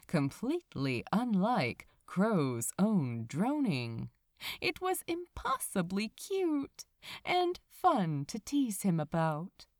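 Woman, storybook narrator, unhurried pace, very animated intonation, tender dramatic phrasing. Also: mid-range voice, no echo, studio quality. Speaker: amateur audiobook narrator. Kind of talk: expressive scripted fiction narration, storybook reading.

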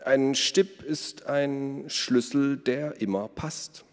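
A man's voice: mid-range voice, takes it slowly, pitched above the performer's own voice, lightly acted. An eccentric storybook father, acted out by a man reading aloud, earnest and pedantic about his beloved tool. He lifts his voice in mock-solemn indignation. The sound rings with long hall reverb. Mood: proudly explaining.

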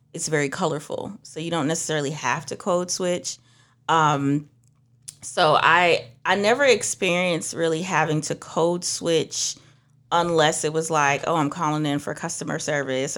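A young woman from Trinidad, a minister, telling stories in casual conversation and acting out what others said. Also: deep voice. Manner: animated storytelling, acts out other voices. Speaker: minister and beauty professional.

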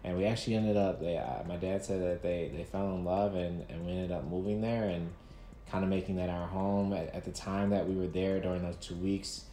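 A man in his thirties from Nigeria, a guest talking casually about his life, relaxed, with many fillers and restarts. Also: low-pitched, fairly quick. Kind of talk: casual interview storytelling. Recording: echoey room with faint noise.

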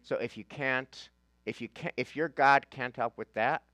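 A man's voice: mid-range voice, normal speed, bright, forceful tone, no echo. A man with an American accent teaching a class as a rabbi, informal and emphatic, repeating phrases and posing rhetorical questions. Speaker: rabbi leading a Talmud class. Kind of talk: informal teaching talk with reading from a handout.

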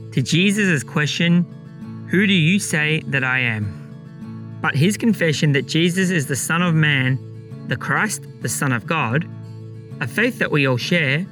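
A man's voice: a little high, a bit bright. A man hosting a gospel reflection program, reading a scripted introduction. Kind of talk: scripted narration. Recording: music behind the voice. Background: plucked guitar music.

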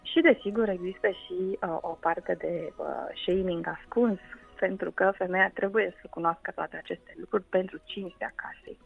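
Woman, middle pitch, explanatory radio guest, hesitant pauses, slightly dull sound, music behind. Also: steady tone, gravelly, husky. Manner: hesitant, with frequent pauses mid-phrase. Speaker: guest food culture researcher. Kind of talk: radio interview, explanatory storytelling.

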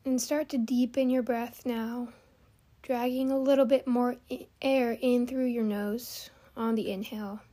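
Slow, soft, higher-pitched woman guiding meditation. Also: fairly even intonation. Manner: soothing, gentle instruction with long pauses. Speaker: host and meditation guide. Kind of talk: guided meditation.

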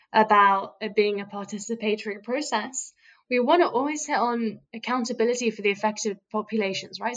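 A woman's voice: fairly high pitch, highs cut off. A woman who speaks Farsi natively, co-hosting a podcast in a chatty, self-deprecating way. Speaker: podcast co-host. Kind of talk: casual podcast conversation.

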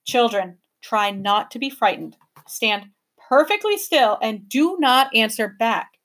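A measured, firm old professor who keeps his voice steady and stern, as a woman reads him. A storybook guardian laying down the rules to children, with a fairly high voice for the part. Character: calm, stern older male professor.

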